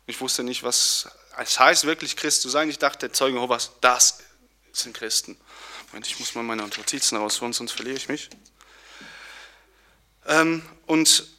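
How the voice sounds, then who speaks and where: A young man from Poland, giving an earnest personal testimony with pauses and restarts. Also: mid-range voice, pushed and bright. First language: Polish. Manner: earnest, searching, with fillers and restarts.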